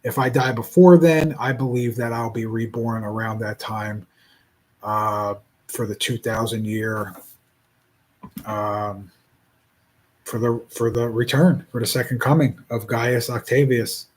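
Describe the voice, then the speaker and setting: A man in his forties speaking in a casual reflective monologue, rambling and halting with many restarts. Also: mid-range voice, fairly even intonation, poor audio. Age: 40s.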